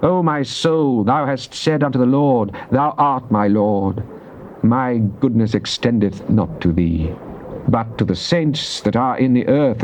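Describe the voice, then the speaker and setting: An actor playing a devout, earnest psalmist, reciting scripture in a solemn, measured, declamatory theatrical style. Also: male voice, lowish voice, a little mellow. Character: devout psalmist, earnest man praying to God. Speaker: dramatized scripture reader. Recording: dull noisy cassette tape transfer.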